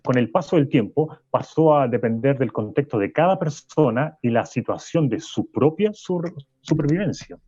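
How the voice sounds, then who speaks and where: An actor playing a weary Chilean professor interviewed in a radio drama, stammering and rambling, in slightly muffled sound. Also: male voice, mid-range voice, lively intonation, moderate pace, hardly any pauses, dark tone, breathy edge, no room sound. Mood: reflective. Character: male professor, weary expert guest in a bleak future. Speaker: interview guest.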